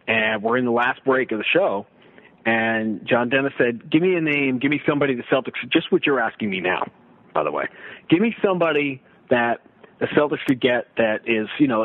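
A man talking sports casually as a broadcaster guest, telling stories and throwing out rhetorical questions in an animated way. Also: medium pitch. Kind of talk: casual sports radio discussion. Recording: roomy, thin phone-like radio line.

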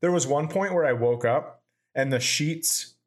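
A man's voice: a little high, unhurried. Man telling profane exaggerated stories. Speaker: comedian and podcast host. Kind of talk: casual comedic chat.

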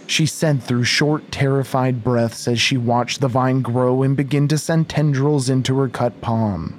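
A man with a mid-pitched voice narrates a horror audio story. His delivery is slow and measured, each phrase deliberately placed, with faint ambience behind him. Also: steady tone, a little hiss or hum.

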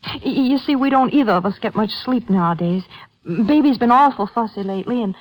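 Worried young mother: highish voice, faltering and apologetic, old radio drama. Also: lively intonation, a little fast, rough recording. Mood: anxious, downcast. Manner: hesitant, apologetic, stammering. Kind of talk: 1950s radio drama acting.

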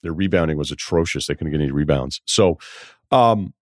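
A man's voice: a bass voice, a little fast, dry recording, studio quality. A man hosting a sports podcast, giving informal, wry, conversational commentary.

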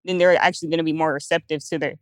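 A young woman in sales talks quickly in a lowish voice, full of fillers and restarts. Her speech is recorded dry and close, with no room echo. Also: steady tone. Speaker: sales coach. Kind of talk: casual panel chat.

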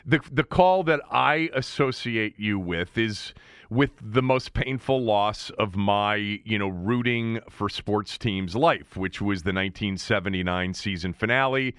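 A man's voice: lowish voice, unhurried, fairly bright tone, clear, resonant tone, no room sound, studio quality. A middle-aged or older man from the Washington, D.C. area hosting a casual sports interview in a rambling, conversational way. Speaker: sports talk host.

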